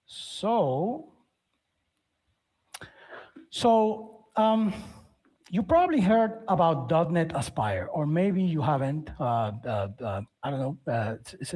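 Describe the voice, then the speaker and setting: A middle-aged man gives an informal tech presentation, haltingly, often repeating words and phrases. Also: on the high side, animated, darkish tone. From Dominican Republic. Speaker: conference speaker, software developer.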